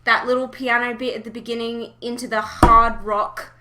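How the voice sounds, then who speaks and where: A woman's voice: a little high, flat delivery, normal speed, very noisy. A woman hosting a casual fan podcast, chatting informally and giving blunt opinions. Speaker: podcast host.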